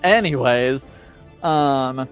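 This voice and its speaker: A man acting as game master, casually recapping a tabletop roleplaying story in a halting, drawn-out way. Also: medium pitch, soft background music.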